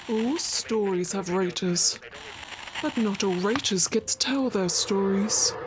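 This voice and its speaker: A woman acting as a solemn, devoted female servant in an audio drama, brooding over secrets. She speaks slowly and deliberately, with clear noise and clicks around her. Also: mid-range voice, lightly acted. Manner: slow, measured, brooding. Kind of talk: solemn scripted monologue.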